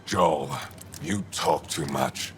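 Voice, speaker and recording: An actor playing a gruff, distrustful male alien resistance commander in a video game, curt and stern. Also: sits low, very animated, drawn-out words, fairly bright tone, a little hiss or hum, heavily acted. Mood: hostile, stern. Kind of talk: video game dialogue acting.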